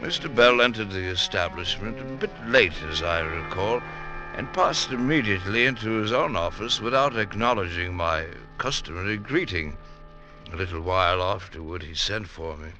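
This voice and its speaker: An actor playing a deferential middle-aged chief clerk, formal, precise and stuffy, in old-time radio drama style. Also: male voice, low voice, animated, normal speed, music in the background. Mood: dutiful.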